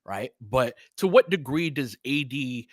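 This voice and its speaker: Male fan commentator, animated pitch, dry close sound, casual conversational analysis. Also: a little slow, no room sound. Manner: informal, conversational, frequently seeking agreement. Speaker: basketball commentator.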